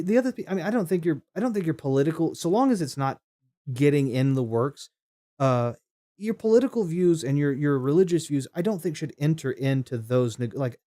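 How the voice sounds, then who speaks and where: A man's voice: medium pitch, mellow. A man streaming a game, talking casually and rambling through opinions, with frequent restarts and chat comments read aloud.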